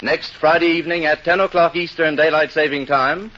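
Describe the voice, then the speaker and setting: A man's voice: on the high side, steady tone, a little fast. A man giving a formal, scripted network announcement in 1930s radio style. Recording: muffled old radio broadcast recording.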